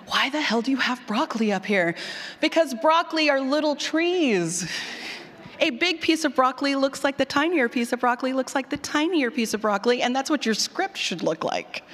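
A woman speaks to a conference audience in a room with long, ringing reverb. Her delivery is informal and animated, with punchy emphasis and slangy phrasing. She sounds like a workshop leader who likes to put questions straight to the room. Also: a little high. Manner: animated, colloquial, emphatic, mildly sweary, puts questions to the room. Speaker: speaker leading a workshop on audio drama writing.

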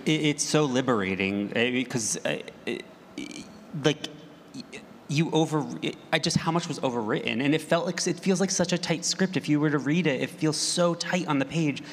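Halting man on an echoey panel. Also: on the high side. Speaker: writer-producer on a panel. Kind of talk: casual panel discussion. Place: live convention panel in a hall. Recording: echoey hall, clear ambient noise.

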